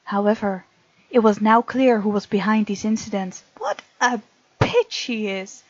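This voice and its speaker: A woman hosting a let's play, reading game text aloud with playful, expressive asides. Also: mid-range voice, a little slow, no room sound, lo-fi sound. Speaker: gaming video host.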